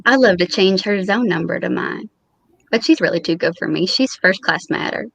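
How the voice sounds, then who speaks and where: A woman's voice: mid-range voice, expressive, normal speed, vocal fry, fairly bright tone. A woman playing a fussy, lovelorn and easily wounded mailman in old time radio comedy style, with dramatic indignation. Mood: lovestruck, wistful.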